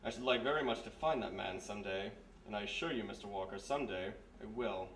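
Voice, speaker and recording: A polite, quietly menacing British officer played by a man, speaking in a formal, insinuating way. It is a radio mystery on a live stage, with the voice set back in an echoey room. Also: fairly low pitch, quick pace. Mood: veiled threat. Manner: formal, clipped, smugly insinuating. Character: courteous, menacing British military police major. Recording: echoey live stage, distant, faint noise.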